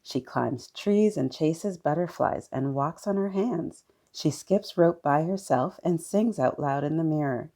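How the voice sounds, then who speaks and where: Low, creaky-voiced woman reading a storybook. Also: lively intonation, measured pace, few pauses, mellow. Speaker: children's storytime reader. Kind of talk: expressive picture-book narration.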